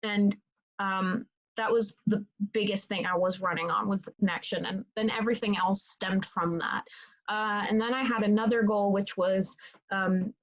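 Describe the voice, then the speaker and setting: A woman's voice: mid-range voice, fairly even intonation, moderate pace, muffled recording. A young woman whose first language is Italian, answering interview questions in a casual, rambling way with many fillers and restarts. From Italy. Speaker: interviewee, student leader.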